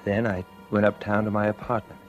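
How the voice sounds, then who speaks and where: A scheming, outwardly genial murderer played by a male actor confesses in a low, deliberate voice. The delivery is classic 1940s radio suspense, nervous under the surface, with faint music behind it. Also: little pitch movement, muffled recording, lightly acted. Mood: tense, calculating.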